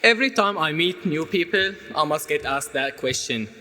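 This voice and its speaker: A young man from Syria whose first language is Arabic, giving an earnest, measured prepared speech as a student speaker, with small restarts. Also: fairly high pitch, expressive, pushed and bright. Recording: hall reverb.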